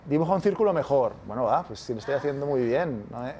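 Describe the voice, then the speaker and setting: A man's voice: mid-range voice, animated, quick pace, dark tone, a little hiss or hum. A man from Spain with a Spanish accent giving a casual university masterclass, constantly checking in with his audience. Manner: casual, keeps checking with "¿vale?". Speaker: university lecturer. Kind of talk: masterclass lecture.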